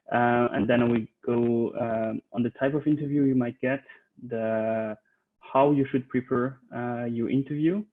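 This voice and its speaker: A man from Switzerland, a recruiter, giving an online conference presentation from his home office, hesitant with many fillers and restarts. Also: mid-range voice. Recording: tinny phone-like audio.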